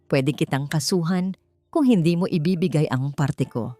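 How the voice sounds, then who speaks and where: A woman's voice: low voice, normal speed, warm tone, faint music behind. A woman voicing a greedy, manipulative husband in a dramatic, pressing manner for an audio drama. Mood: smug, falsely sweet. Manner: falsely sweet, pressing, dramatic. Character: smug, greedy husband in jail, turning desperate and pleading. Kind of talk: Tagalog audio drama acting.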